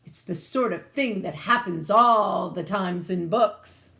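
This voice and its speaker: A woman's voice: mid-range voice, slow pace, vocal fry, fairly bright tone. A woman voicing a confident king from a children's storybook, explaining things emphatically. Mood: certain.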